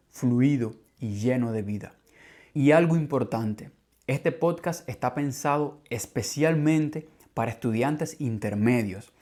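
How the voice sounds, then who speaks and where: A man with a Cuban Spanish accent hosts a podcast for Spanish learners. He reads a script calmly and clearly at a measured pace, and his voice sits at an everyday middle pitch. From Cuba. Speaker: language teacher and podcast host.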